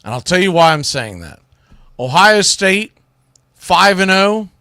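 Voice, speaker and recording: A man with a slightly high-pitched voice delivers a sports radio monologue in a dry, close recording. He is conversational and opinionated, leaning hard on key words and pausing for effect. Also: very animated, sharp tone, no room sound. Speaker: sports radio show host. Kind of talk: casual sports commentary monologue.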